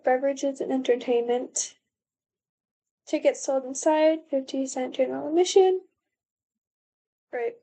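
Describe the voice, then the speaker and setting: Brief: young American woman, rambling reaction-video talker, fairly high voice. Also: warm tone. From born in Canada, raised in the US. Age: young adult. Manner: chatty, rambling, thinks aloud with many ums. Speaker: video reactor, college student. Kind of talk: casual reaction commentary.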